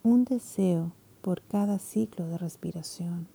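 A woman guides a meditation in a soft, soothing voice that keeps slipping into whispers. Her tone is deep and mellow, with a slightly husky grain and a gravelly edge, and she speaks very slowly.

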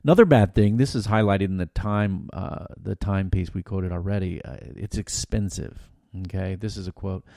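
Deep, gravelly American man podcasting. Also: no room sound, clean studio sound. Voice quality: loud, projecting voice. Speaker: podcast host. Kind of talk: casual conversational podcast discussion.

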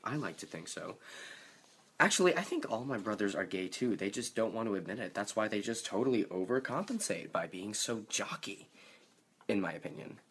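A man playing a chatty young friend in a radio soap, gossipy and quick. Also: fairly low pitch, very animated, fairly bright tone, breathy edge, full character voice.

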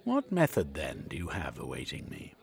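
A man voicing a frightened, grey-haired old English gentleman who pleads and stammers. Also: extremely low pitch, wildly swooping pitch, normal speed, creaky, pitched below the performer's own voice, exaggerated voice. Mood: fearful.

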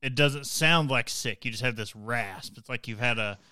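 Bright, clear-voiced joking man. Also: mid-range voice, moderate pace, no echo, clean studio sound. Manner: teasing, joking. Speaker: podcast co-host. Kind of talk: casual banter.

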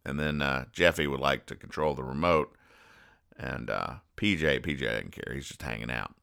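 A man hosting a podcast, describing comic strips in a halting, deadpan, mock-exasperated way. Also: very deep voice, creaky, clear, resonant tone. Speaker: podcast host. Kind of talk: comic commentary reading newspaper comics aloud.

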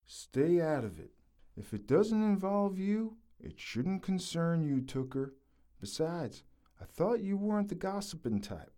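A man playing a gruff, folksy, skeptical teammate who drawls, in an audio drama. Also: a little high, sing-song, measured pace, warm tone. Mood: dismissive.

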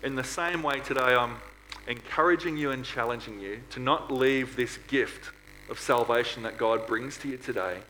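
A man delivers an earnest church sermon in a mid-pitched voice, posing rhetorical questions as he goes. The recording carries some background noise and a hum. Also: normal speed, bright, forceful tone, poor audio. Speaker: preacher at a church. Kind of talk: sermon to a congregation.

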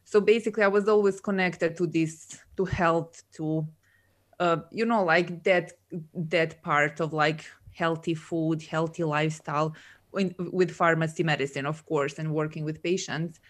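Chatty woman, lowish voice. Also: steady tone, unhurried. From Serbia. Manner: chatty, laughs often, many fillers. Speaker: guest, coach and entrepreneur. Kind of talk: casual conversational interview.

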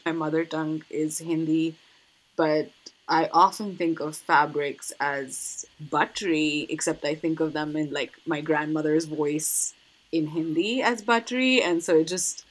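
Thoughtful woman, lower voice, hesitant. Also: rough recording. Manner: thoughtful, hesitant, frequent restarts and laughs. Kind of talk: casual conversation.

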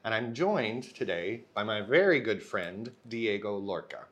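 A male host leading a casual interview, friendly, with quick follow-up questions. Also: on the low side, expressive, a bit bright.

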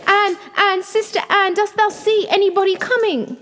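A woman's voice: very high-pitched, huge pitch swings, measured pace, a little hiss or hum, pitched above the performer's own voice, full character voice. A woman voicing a frightened, desperate young bride pleading in a storybook fairy tale. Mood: frantic, desperate. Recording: long hall-like reverb.

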